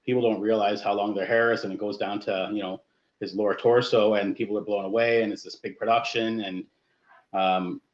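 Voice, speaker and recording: A fairly low-voiced man moderates a panel, pausing often with "uh" and "you know". His voice rings in a roomy space, and the recording sounds dull and lo-fi. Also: little pitch movement. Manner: hesitant, many fillers. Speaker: guest host and coach.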